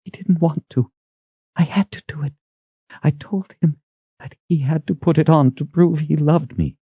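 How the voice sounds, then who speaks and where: A man's voice: extremely high pitch, lively intonation, a little slow, breathy edge, no room sound, phone-quality sound, pitched above the performer's own voice, heavily acted. A man voicing a frantic young woman who pleads urgently and breathlessly in a dramatic audiobook reading. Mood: anguished, guilty.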